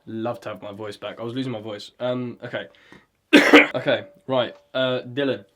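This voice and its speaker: A man's voice: mid-range voice, steady tone, normal speed, fairly bright tone, a little hiss or hum. A man talking casually as he writes a script, rambling, swearing often and hunting aloud for the right word. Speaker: vlogger writing a script. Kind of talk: casual talk while writing.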